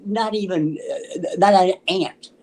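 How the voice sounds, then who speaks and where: Man, seventies, American accent, slightly high pitch, halting storyteller, animated quoted dialogue, casual video-call chat. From Memphis, United States. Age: 70s. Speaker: interview guest, musician. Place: small room at home, on a video call.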